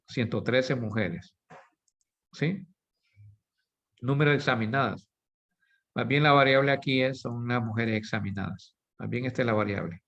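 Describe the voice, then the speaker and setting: A man giving a recorded statistics lesson, explaining with everyday examples, correcting himself now and then, and asking his students "right?" after nearly every point. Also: mid-range voice. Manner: asks "¿sí?" and "¿verdad?" constantly. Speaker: teacher. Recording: slightly dull lo-fi recording.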